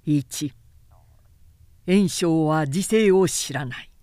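A woman's voice: low voice, drawn-out words, dark tone, dry recording, clean studio sound. Woman as a deferential, earnest male retainer. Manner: deferential, reasoned, formal. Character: respectful, earnest male retainer advising his lord. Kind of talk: audiobook character acting.

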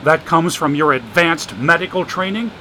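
A gruff, exasperated man in a storm-wrecked mansion, short on patience, as a male narrator puts him on. The voice is fairly high and bright, with a forceful edge. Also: normal speed, a little hiss or hum. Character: irritable man in his own mansion, short-tempered.

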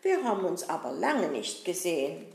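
A woman's voice: fairly low pitch, big pitch swings, moderate pace, creaky, darkish tone. A woman voicing a greedy, good-natured puppet boy who chats away fussily and makes excuses. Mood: chatty. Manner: chatty, fussy, self-excusing. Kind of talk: puppet-show acting. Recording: roomy echo.

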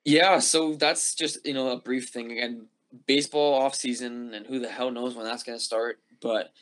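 A man's voice: medium pitch, fairly even intonation, normal speed, a bit bright. A man, co-hosting a podcast he created, talking sports in an upbeat, offhand way. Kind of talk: casual sports chat.

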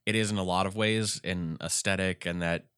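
A man with a congested voice, chatting casually about games as a podcast co-host and rambling through animated retellings. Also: sits low, moderate pace, clean tone, no echo, studio quality. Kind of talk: casual gaming chat.

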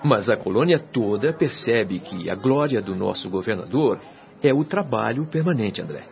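Solemn spirit helper, varied pitch. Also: male voice, expressive, moderate pace, creaky. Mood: admiring. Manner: formal, didactic, admiring. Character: devoted, solemn male resident helper of a spirit colony. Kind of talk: Brazilian radio soap acting. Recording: dull radio drama with music behind.

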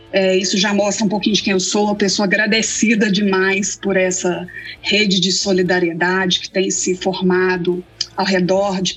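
A woman's voice: mid-range voice, fairly bright tone, low-quality recording. A woman in her forties from Belo Horizonte with a Minas Gerais accent, answering interview questions in a thoughtful, storytelling way. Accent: Minas Gerais Portuguese. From Belo Horizonte, Brazil. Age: 40s. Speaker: guest theologian.

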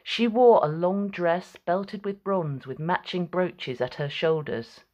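A woman's voice: fairly low pitch, slow, a little mellow, lo-fi sound. A woman narrator reading a scripted, dramatic story.

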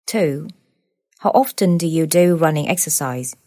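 Female examiner, low warm voice, dry close sound, measured questions. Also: no room sound. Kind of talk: reading interview questions.

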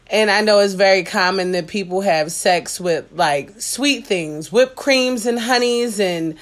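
A woman's voice: medium pitch, unhurried. Frank, playful woman podcast host. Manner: frank, playful, laughs often. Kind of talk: casual explicit podcast chat. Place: home kitchen, cooking while talking.

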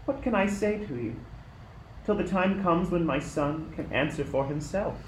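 Casting: man as tender, humble young mother, lightly raised pitch, reverent radio drama, roomy sound. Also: expressive, lightly acted. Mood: humble, wondering. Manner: earnest, measured, reverent. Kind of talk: amateur radio play acting. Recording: echoey room, faint noise.

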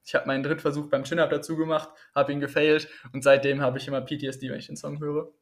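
A man guesting on a podcast, his voice carrying a gravelly fry. He rambles in a relaxed, easygoing way and fills his pauses with filler words. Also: a little high. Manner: relaxed, rambling, filler-heavy, chuckles often. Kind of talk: casual chat.

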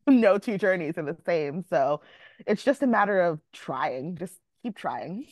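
A woman's voice: fairly low pitch, expressive, measured pace, a little mellow, dry recording. Candid young woman author, dry close sound. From Jamaica. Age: young adult. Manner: candid, self-mocking, laughs often. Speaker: author guest. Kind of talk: casual podcast interview.

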